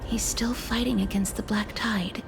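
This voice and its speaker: Over prominent music comes a gentle young woman of fantasy games, acted by a woman. Her voice is soft and breathy, with a medium pitch. She speaks in measured, courteous, solemn phrases. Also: fairly even intonation, lightly acted, low-quality recording. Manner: soft, measured, formal and polite. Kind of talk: scripted video game voice acting. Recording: game music behind the voice.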